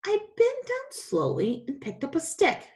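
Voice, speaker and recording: A woman reading a children's story aloud as a teacher, with an expressive, dramatic storytelling delivery. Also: very high voice, a little slow.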